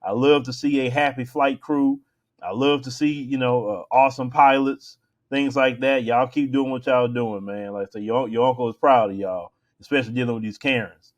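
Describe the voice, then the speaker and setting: A man's voice: medium pitch, steady tone, moderate pace. An American man hosting a show, giving casual opinion commentary in an emphatic, colloquial, conversational way.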